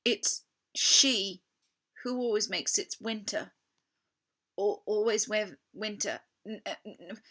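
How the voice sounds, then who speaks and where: A woman's voice: mid-range voice, takes it slowly, sharp tone, pitched above the performer's own voice. A woman voicing an anxious, guilt-ridden, tearful male fawn in a storybook, with a stammering, dramatic delivery. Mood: wretched confession.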